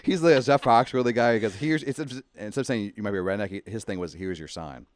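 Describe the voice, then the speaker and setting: A young man with a slight speech impediment, talking quickly and joking loosely as a podcast host. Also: on the low side, no echo. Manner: fast-talking, jokey, swears freely. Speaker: podcast host and stand-up comedian. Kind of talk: casual podcast chat.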